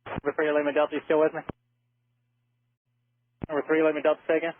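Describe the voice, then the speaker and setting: A male air traffic controller talks over a thin, narrow-band radio link with light static. His pitch is a little high for a man but stays very even. He speaks in halting, procedural instructions, repeating call signs as he goes. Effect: aircraft radio transmission.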